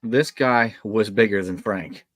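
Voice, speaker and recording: A man reading an awkward, brainy young male camp counselor in a live horror script read, halting but precise. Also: on the low side, no room sound. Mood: uneasy.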